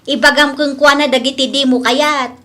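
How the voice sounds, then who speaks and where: Lady playing a caring mom in a radio drama, really high sharp voice, coaxing and earnest. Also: fairly even intonation, moderate pace. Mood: concerned, coaxing. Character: caring, advising mother of a grown son. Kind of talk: Ilocano comedy radio drama acting.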